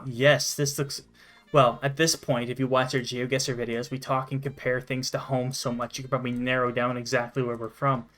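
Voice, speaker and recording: A young man giving excitable, sweary, casual commentary as he plays a game. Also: mid-range voice, moderate pace, soft background music. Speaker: gaming channel host.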